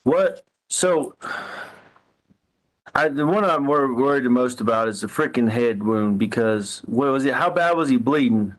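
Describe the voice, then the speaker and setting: A man on a recorded phone call, asking probing questions in a halting way, often repeating his words. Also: mid-range voice, lively intonation. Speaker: caller questioning the other person.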